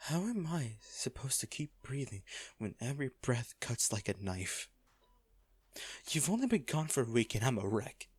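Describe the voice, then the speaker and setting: A man acting a hardened lover pleading at a comatose partner's bedside in a romantic audio roleplay, halting, intimate and earnest. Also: mid-range voice, big pitch swings, breathy edge, no room sound. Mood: grieving, broken.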